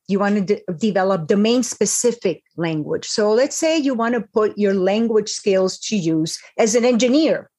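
A woman's voice: medium pitch, darkish tone, breathy edge. A woman, a professor, answering panel questions in a direct, emphatic way over a video call.

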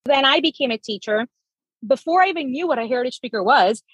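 A woman's voice: a little high, a bit bright, slightly rough, dry recording, low-quality recording. A woman from Miami speaking on a panel as an educator and researcher, earnest and personal. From Miami, Florida. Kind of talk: conversational panel answer.

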